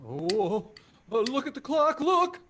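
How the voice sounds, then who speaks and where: Bumbling, giddy uncle played by a man, radio drama. Wildly animated, drawn-out delivery, faint background noise. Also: very high-pitched, heavily acted. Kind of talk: 1940s radio drama acting.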